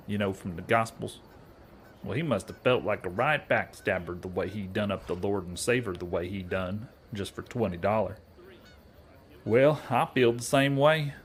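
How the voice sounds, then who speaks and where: An actor playing a naive, earnest young cowboy outlaw weighed down by guilt, with a folksy rural American Western drawl, in a comedy western audio drama. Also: male voice, medium pitch, slight background noise, lightly acted. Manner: folksy, ungrammatical bumpkin talk.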